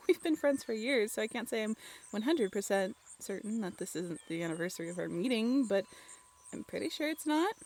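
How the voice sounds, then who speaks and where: A woman voice actor playing a playful, teasing young woman in an intimate, conversational roleplay audio drama, expressive and a little halting. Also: on the high side.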